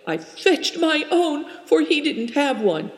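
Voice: high voice, sing-song, takes it slowly, voice pushed up, exaggerated voice. A reader voicing a frightened young herdswoman who stammers and sobs through her story, in a roomy space with long reverb. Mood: tearful. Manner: stammering, sobbing. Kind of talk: audiobook character reading.

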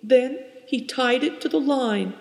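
A frightened young herdswoman, as a reader puts her on, stammers out her tale on the edge of sobbing. Her voice sits high and strained, and a long reverb trails behind it in a roomy space. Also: measured pace, gravelly. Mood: tearful. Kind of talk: audiobook character reading.

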